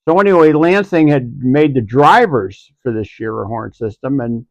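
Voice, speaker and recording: A man co-hosting, explaining history off the cuff in a halting, conversational way. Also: medium pitch, lively intonation, no echo. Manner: halting, pieces phrases together conversationally. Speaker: co-host, vintage audio enthusiast. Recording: dry, slightly dull recording.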